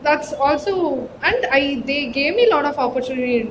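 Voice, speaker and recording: A woman with a Tamil Indian accent speaks in a fairly high voice at a very fast pace. She talks conversationally, as an interview guest would, and stresses her points. The recording has clear background noise with a low rumble. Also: lively intonation. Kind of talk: conversational interview, code-switching storytelling.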